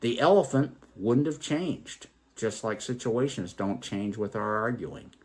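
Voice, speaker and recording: A man telling a story and reflecting on its moral as host, in a theatrical, emphatic, deliberate way. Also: medium pitch, a little mellow. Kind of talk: dramatic poetry recital and moral reflection.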